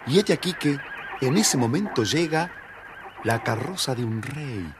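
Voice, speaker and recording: A man's voice: medium pitch, expressive, measured pace, background noise. A man narrating a children's story dramatically, addressing his young listeners directly.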